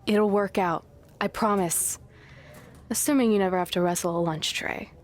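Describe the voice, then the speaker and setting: A woman's voice: mid-range voice, normal speed, lightly acted. A woman playing a loyal, sarcastic young woman wrestler who encourages her friend in a video game cutscene. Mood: encouraging. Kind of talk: scripted game cutscene acting.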